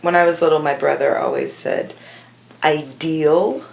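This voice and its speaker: A woman's voice: sits low, steady tone, measured pace, vocal fry. A woman in her mid-thirties chatting casually as a podcast guest. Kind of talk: casual chat. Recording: roomy echo.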